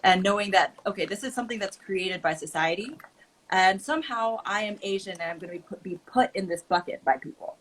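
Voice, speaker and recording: A woman from Oakland, California, who grew up speaking Mandarin. She tells personal stories earnestly as a guest in a relaxed conversation. Her pitch sits in a middle range, and she fills pauses with uh and like. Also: a little hiss or hum, low-quality recording. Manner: earnest storytelling, frequent fillers. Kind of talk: casual group chat.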